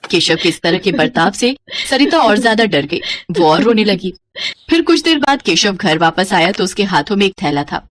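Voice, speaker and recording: Female narrator for children's tales; animated, expressive scripted delivery, mid-pitched. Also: moderate pace, a little hiss or hum. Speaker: storyteller.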